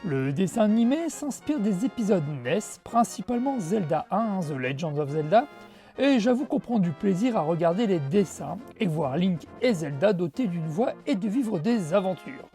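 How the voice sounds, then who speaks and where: Female presenter, mid pitch, soft-edged tone, conversational scripted review with light music underneath. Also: very animated, normal speed. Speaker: host of a retro video game show. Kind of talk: scripted review narration with casual asides.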